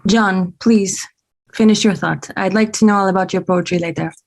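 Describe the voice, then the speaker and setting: Casting: actress as a charmed, inquisitive French-accented woman, medium pitch, drama read. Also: steady tone, moderate pace, a little mellow, close to an everyday voice. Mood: reassuring. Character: friendly, curious French woman, charmed by a poet. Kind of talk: screenplay table read.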